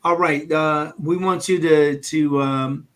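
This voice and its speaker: A man, a doctor, hosting a live health stream in a loose, halting way with many fillers and light jokes. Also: a little high, steady tone. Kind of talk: casual livestream talk.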